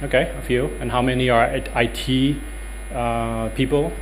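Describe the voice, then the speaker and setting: A man's voice: medium pitch, steady tone, moderate pace, a little hiss or hum, rough recording. A man in his thirties with a Dutch accent giving a workshop talk in a conference room, hesitant with many ums and uhs. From Netherlands. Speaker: lecturer.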